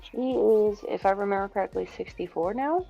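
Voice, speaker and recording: A man's voice: high voice, creaky, warm tone, dull audio, soft background music. Man with a light, feminine-sounding voice. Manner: chatty, quick to correct himself. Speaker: livestream co-host. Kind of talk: casual chat.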